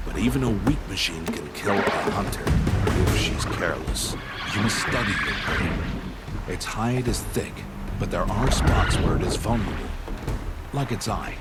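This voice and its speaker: An actor playing a stern, middle-aged outcast hunter who guides a child with terse commands in a video game cutscene. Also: male voice, on the low side, lightly acted. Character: stern gruff middle-aged male outcast hunter, protective mentor to a girl. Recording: loud music under the voice.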